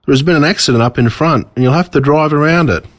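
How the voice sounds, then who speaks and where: A man's voice: on the high side, quick pace, dry recording, studio quality, pitched above the performer's own voice, lightly acted. A man voicing a matter-of-fact police officer in a storybook, speaking in an official manner. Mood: brisk, informative.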